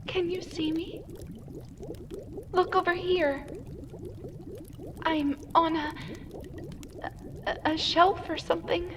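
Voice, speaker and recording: A woman's voice: very high voice, fairly even intonation, slow pace, long pauses, noisy background. A woman playing a tiny, frightened enchanted doll, a cursed waitress, pleading hesitantly in a roleplay audio drama. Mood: desperate pleading. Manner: breathy, hesitant, urgent pleading.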